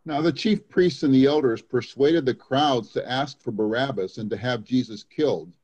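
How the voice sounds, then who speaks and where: A man's voice: mid-range voice, moderate pace, darkish tone, husky. A man giving a dramatic scripture reading as a church reader, in slightly lo-fi sound.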